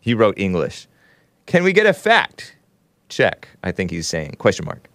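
Man, livestream host, joking chat reader, lively swooping pitch, unhurried delivery, dry close sound. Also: no echo. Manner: jokey, reads chat messages aloud in mock voices, chuckles often.

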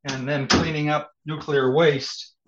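A man's voice: on the high side, flat delivery, measured pace. An older man casually introducing himself in clipped, list-like phrases over muffled call audio. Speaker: group participant, retired engineer. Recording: dull call audio with faint noise.

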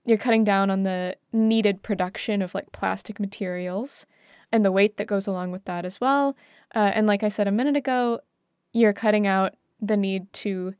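Woman panelist, crisp smooth voice, no room sound, casually explaining and thinking out loud. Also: medium pitch, no echo, studio quality. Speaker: talk show panelist.

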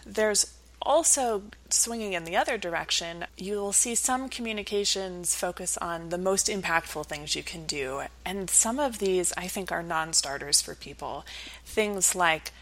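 A woman's voice: on the low side, unhurried, fairly bright tone, rough recording. A woman speaking as an academic researcher in an interview, explaining conversationally with everyday examples.

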